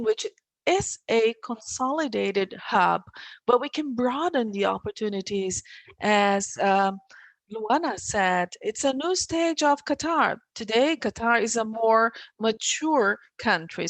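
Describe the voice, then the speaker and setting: A woman moderating a webinar, speaking deliberately with hesitant fillers. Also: fairly high pitch, dry recording. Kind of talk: formal moderation.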